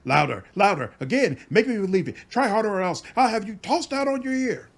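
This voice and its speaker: A man voicing a boastful, scrappy young rascal who talks loud and fast. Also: high voice, lively intonation, creaky, full character voice. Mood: bossy.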